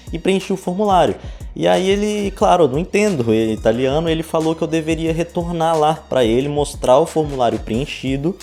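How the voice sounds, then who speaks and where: Mellow young Brazilian man. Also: medium pitch. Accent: Brazilian Portuguese. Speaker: vlogger. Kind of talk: casual storytelling to camera. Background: background music.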